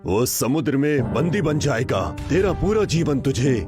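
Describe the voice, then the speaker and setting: A man's voice: on the high side, lively intonation, a little slow, music in the background, voice pushed down. Man playing a stern, wrathful sea god. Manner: stern, solemn pronouncements. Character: vengeful male sea god pronouncing a curse.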